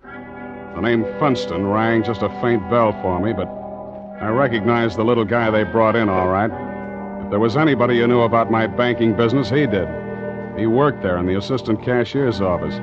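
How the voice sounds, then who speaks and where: A man's voice: lowish voice, normal speed, lightly acted. A man acting a tough, secretive ship's skipper with wry, hard-boiled delivery in a 1940s radio adventure. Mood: brooding narration. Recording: muffled 1940s radio recording, background music.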